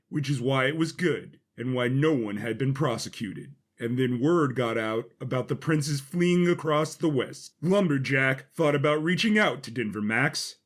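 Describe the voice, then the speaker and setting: A man reading scripted fiction as the narrator of an audio story. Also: fairly high pitch.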